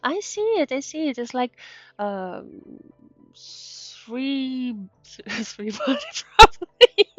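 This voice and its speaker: Female VTuber, non-native English speaker, slow halting delivery, higher pitch, casual game chat, slightly dull audio. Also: big pitch swings, a bit bright, no room sound. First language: not English. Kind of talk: casual gameplay commentary, reading dialogue aloud. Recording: dull sound with faint music behind. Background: game music.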